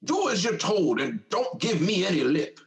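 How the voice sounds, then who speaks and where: An actor playing a teasing, insistent young older brother in an audio drama, drawling and stammering at times. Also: male voice, high voice, very animated, normal speed. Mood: mock preaching, taunting. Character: teasing older brother, a restless young man who has died. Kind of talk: stage-style audio drama dialogue.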